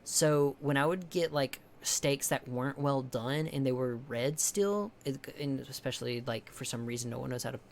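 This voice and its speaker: A young man from the with a higher-pitched voice, chatting casually with his stream viewers, rambling, swearing a lot and restarting often. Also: moderate pace, hardly any pauses, a little hiss or hum. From American South. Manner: rambling, heavy swearing, many restarts and fillers.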